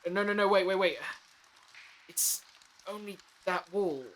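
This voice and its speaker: A man's voice: high voice, steady tone, measured pace, fairly bright tone, a little hiss or hum. A man acting in an audio drama as a dazed, apologetic, anxious figure who stammers and hesitates.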